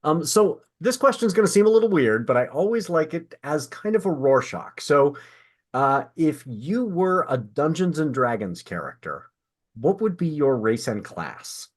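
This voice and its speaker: A man's voice: fairly high pitch, very animated, measured pace. A man hosting a podcast, talking in a casual, chatty way with guests. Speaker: podcast host interviewing an author. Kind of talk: casual chat.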